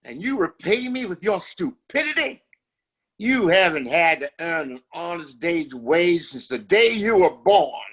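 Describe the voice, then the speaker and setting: Casting: male actor as scheming Western patriarch, higher-voiced, formal, commanding and contemptuous, for an audio drama. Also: very animated, measured pace, a bit bright, breathy edge, full character voice. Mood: angry. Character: domineering, contemptuous father and scheming town boss.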